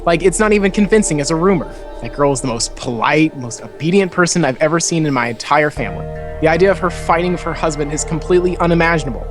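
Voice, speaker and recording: A man voicing a gossipy Valley Girl-style letter writer in a visual novel, chatty and informal, with drawn-out words. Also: fairly high pitch, natural voice. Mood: chatty, dismissive. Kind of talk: acted reading of visual novel dialogue. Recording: music playing clearly behind.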